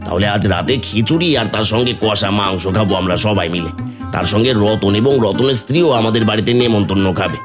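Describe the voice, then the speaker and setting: A man voicing Nimai, a poor and kind village man in a Bengali cartoon, who talks earnestly and thinks out loud. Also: fairly low pitch, fast talker, music in the background, close to an everyday voice. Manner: earnest, thoughtful, speaking his thoughts aloud. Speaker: voice actor.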